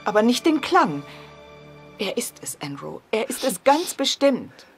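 Grieving stern mother, very expressive pitch, intense, radio mystery drama, music clearly behind. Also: a little slow. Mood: anguished longing. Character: Glenda, grieving, stern mother longing for her vanished son. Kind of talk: period mystery radio play acting.

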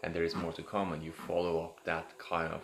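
A man leading an improvised guided meditation in a halting way, repeating words and drawing out phrases. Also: deep voice, monotone, music in the background. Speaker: meditation guide, host of a live session. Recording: echoey room, clear background noise.

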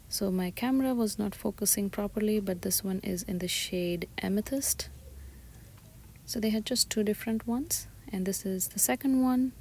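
A woman shopping vlogger giving soft, breathy, often half-whispered ASMR-style commentary as she describes items one by one inside a store. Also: medium pitch. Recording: store ambience noise behind soft voice.

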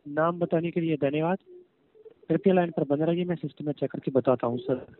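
A man's voice: on the high side, brisk. A man speaking as a scripted call-centre agent on a phone call, formal and repetitive, constantly addressing the listener as sir. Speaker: phone scam caller posing as courier agent. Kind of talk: scripted call-centre customer service talk. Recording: tinny narrowband phone line.

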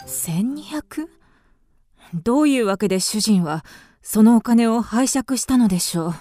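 An actress playing a haughty, grieving widow with refined, formal speech in a radio drama. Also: female voice, fairly high pitch, lively intonation, measured pace, warm tone, faint music behind. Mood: polite, reserved. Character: proud, grieving young widow, haughty and indignant.

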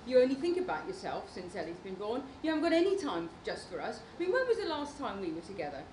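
A woman's voice: medium pitch, sing-song, fast, darkish tone, close to an everyday voice. A British woman playing an exhausted, nagging fiancée and young mother in an amateur radio play. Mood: reproachful. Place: large conference room before an audience. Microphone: handheld or stand microphone. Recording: echoey hall with clear background noise.

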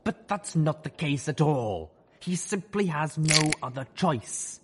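Man as a breezy mad cyborg pony doctor, raised pitch. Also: animated, slow, noisy background. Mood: amused, brisk. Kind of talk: audiobook character voice.

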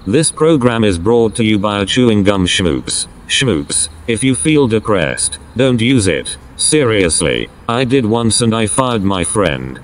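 A man playing a glib broadcast announcer in a comedy audio drama, mixing announcer delivery with deadpan asides. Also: lowish voice, slight background noise. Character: glib male broadcast announcer plugging bands and sponsors.

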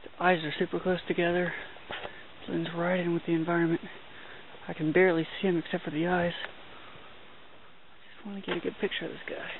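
A man's voice: high voice, normal speed, pauses often. Young man narrating a night hike. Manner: excited, chatty, mild minced oaths. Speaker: hiking vlogger. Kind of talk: hushed running commentary while filming. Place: outdoors at night on a trail. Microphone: handheld camera mic. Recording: tinny lo-fi camera audio with hiss.

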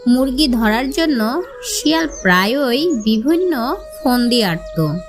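A woman's voice: a little high, very animated, slow, over music. A woman storyteller narrating a scripted fable for children.

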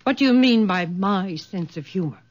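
A woman's voice: mid-range voice, expressive, normal speed, lightly acted. An actress playing a proper, stern older mother of a family in a radio drama. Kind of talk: vintage radio drama acting. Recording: dull, lo-fi old radio recording.

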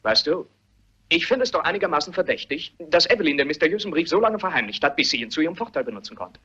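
A mocking rich-family troublemaker, fast, swoopy highish voice, radio drama. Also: male voice, big pitch swings, pushed and bright, slightly rough, full character voice. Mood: smug, needling. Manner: mocking, needling, insinuating. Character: sardonic, provoking male member of a wealthy family. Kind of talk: German radio mystery play acting. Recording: muffled radio play recording.

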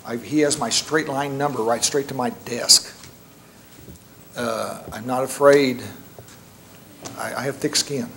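A middle-aged man speaking at a school board meeting, informal and halting with many restarts. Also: mid-range voice, steady tone, a bit bright, slight background noise. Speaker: state monitor addressing a school board. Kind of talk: public meeting remarks. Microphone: podium microphone.

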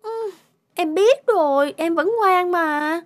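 A woman's voice: very high voice, takes it slowly. A woman voicing a whiny young cartoon wife. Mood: coaxing. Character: young wife and scheming young mistress. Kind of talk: cartoon dubbing.

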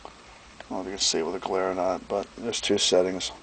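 A man giving a casual hands-on product demonstration as presenter, plain-spoken and folksy, often clearing his throat. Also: sits low, level tone, fast talker, fairly bright tone, slight background noise, poor audio. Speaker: presenter, radio restorer and seller. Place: indoor workbench.

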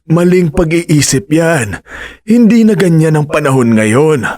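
A man's voice: on the high side, lively intonation, a little slow, darkish tone, no room sound, studio quality. A man playing an older father who scolds his grown son in a radio drama. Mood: scolding. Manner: stern fatherly advice. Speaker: voice actor.

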